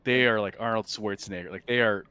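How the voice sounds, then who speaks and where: A man's voice: on the low side, quick pace, bright, forceful tone. A man running a tabletop roleplaying game as game master, improvising descriptions in an informal, casual way.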